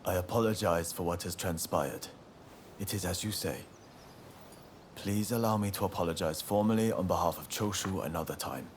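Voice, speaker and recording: An actor playing an honorable young samurai in a video game cutscene, speaking formally and solemnly in measured phrases. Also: male voice, deep voice, a little hiss or hum, close to an everyday voice. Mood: solemn, apologetic. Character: earnest, honorable young male samurai of Choshu. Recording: music behind the voice.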